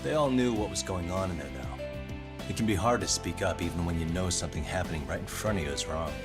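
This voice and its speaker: A male actor plays a private detective in his thirties with a deep, low voice. He talks in a measured, casual and wry way, as in a dubbed game drama. Music plays clearly behind him. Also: lightly acted. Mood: composed, explaining. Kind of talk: English dub of a video game drama.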